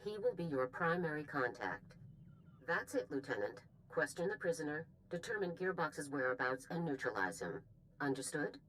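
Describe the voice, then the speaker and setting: Low-voiced, stern female general. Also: sing-song, moderate pace, a bit bright, slight background noise, rough recording. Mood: commanding. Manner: formal, authoritative, clipped. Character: stern, brisk female military general briefing a soldier. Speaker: commanding officer. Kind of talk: scripted video game mission briefing.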